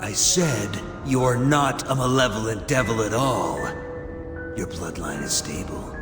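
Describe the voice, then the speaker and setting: An actor playing a cold, self-satisfied scientist villain in a video game, delivering a theatrical, gloating monologue with drawn-out emphasis. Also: male voice, lowish voice, lively intonation. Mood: coldly explaining. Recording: echoey, music underneath.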